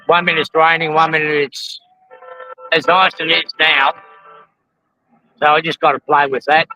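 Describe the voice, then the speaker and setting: An Australian man hosting a casual live stream outdoors, rambling with lots of ums while his voice goes hoarse. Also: on the high side, flat delivery, normal speed, dull audio, soft background music. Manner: rambling, frequent ums and restarts. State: losing his voice from the heat. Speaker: model railroading channel host. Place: outdoors at a roadside truck convoy.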